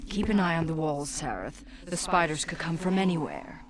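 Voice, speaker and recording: A woman's voice: sits low, animated, moderate pace, very noisy. An actress playing a sultry, teasing, secretive female demonic guide in a video game, sly and purring with mock affection. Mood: watchful, sly.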